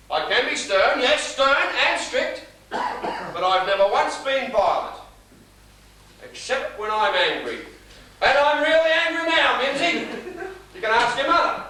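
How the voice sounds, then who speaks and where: A man's voice: very high-pitched, big pitch swings, a little slow, pauses often, heavily acted. An actor playing an exasperated, blustering middle-aged father of a bride in a live comic stage play. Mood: stern, angry. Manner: loud, blustering, exasperated, theatrical. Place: live stage in a small theatre. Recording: echoey theatre stage, distant mic, faint noise.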